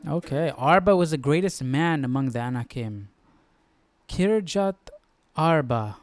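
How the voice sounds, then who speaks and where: A male streamer talks through his study informally, thinking out loud. His voice is fairly high and very clean, in a dry recording with no room sound. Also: expressive, a little slow, no room sound. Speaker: host of a live Bible study stream. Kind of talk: casual study-along commentary.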